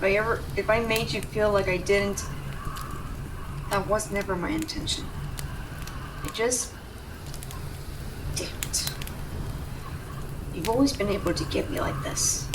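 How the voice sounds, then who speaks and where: A young woman voice actor playing a gruff but devoted tsundere rescuer in an intimate, emotional audio roleplay. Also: mid-range voice, a little slow, long pauses, lightly acted. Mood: tender, apologetic. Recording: distant mic, clear background noise ambience.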